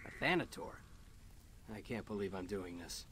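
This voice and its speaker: A man's voice: sits low, expressive, normal speed, a bit bright, slight background noise. A man playing a flippant young soldier hero in a video game, talking in a casual, colloquial, wry way. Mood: incredulous. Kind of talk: video game cutscene acting.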